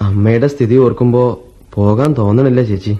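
A man's voice: medium pitch, steady tone, a little fast, dark tone, natural voice. A man playing an earnest, troubled young student. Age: young adult. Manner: earnest, melancholy, reflective. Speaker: radio drama actor. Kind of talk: 1980s Indian radio play acting. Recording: dull old radio recording with faint music.

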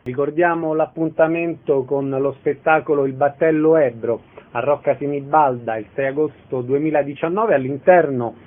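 A man's voice: a little high, normal speed, phone-quality sound. A man presenting and asking questions as the host of a radio interview.

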